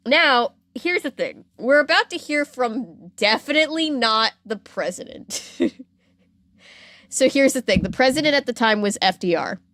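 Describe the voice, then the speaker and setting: A woman's voice: high-pitched, expressive, a little slow, fairly bright tone, no echo, studio quality. A woman hosting a podcast, telling a story in a playful, informal, conversational way with casual asides. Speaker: podcast host.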